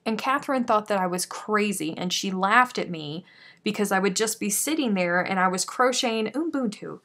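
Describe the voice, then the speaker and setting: A woman's voice: mid-range voice, expressive, moderate pace, a bit bright. A woman hosting a live crochet stream, chatting casually and self-deprecatingly as she reads out viewer comments.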